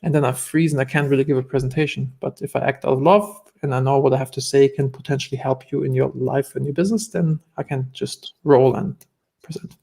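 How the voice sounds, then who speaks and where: A man's voice: mid-range voice, fairly even intonation, fairly quick, creaky, dark tone. A man with a German accent, a guest entrepreneur telling stories in an earnest, reflective way during a casual interview.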